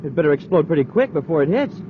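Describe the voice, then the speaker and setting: Excitable young American sidekick, higher voice, exclamatory, tinny vintage radio serial. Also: male voice, quick pace. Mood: excited, amazed. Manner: wide-eyed, exclamatory. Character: eager, excitable young space cadet sidekick, male. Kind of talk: 1950s radio adventure acting. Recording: tinny, noisy old radio recording.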